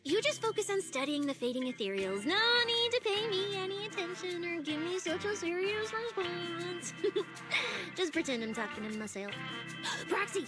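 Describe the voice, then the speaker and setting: A woman playing a brash, boastful young public security officer in a video game, cocky, excitable and chatty. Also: extremely high pitch, normal speed, music in the background. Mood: candid.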